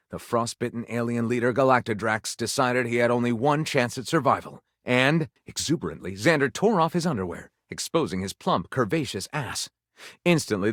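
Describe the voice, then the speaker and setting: A man's voice: mid-range voice, a bit bright, dry recording, studio quality. An actor voicing a flamboyant male storyteller of lurid romance in a video game, with theatrical, breathless, overwrought dramatic narration.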